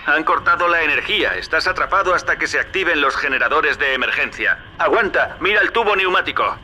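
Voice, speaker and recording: A shrewd game ally. His voice is forceful and very bright, swinging widely in pitch as he gives familiar, brisk orders through a slightly noisy radio. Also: lively intonation. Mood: businesslike. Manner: familiar, directive. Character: shrewd, brisk male ally guiding the hero. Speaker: guide over radio. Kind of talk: video game dub acting.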